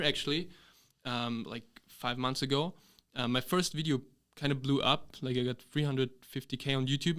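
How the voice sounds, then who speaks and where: Man from Austria, podcast guest, halting casual delivery, mid-range voice. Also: mid-range voice, fairly bright tone. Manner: hesitant, full of fillers and restarts. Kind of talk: casual chat.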